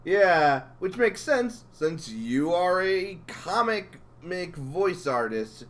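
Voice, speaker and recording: A man with a fairly high, very lively voice hosts a casual interview. He rambles along like an enthusiastic fan, his intonation moving up and down a great deal. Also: slow pace. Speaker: interviewer and channel host.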